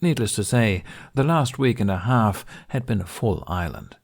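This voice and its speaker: Male narrator for fiction audiobook: lowish pitch, crackly vocal fry, deliberate and expressive storytelling read.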